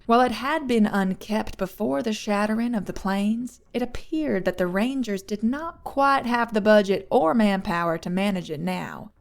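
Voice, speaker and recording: A woman's voice: mid-range voice, moderate pace, gravelly, hardly any pauses. A woman reading scripted third-person fiction as an audiobook narrator.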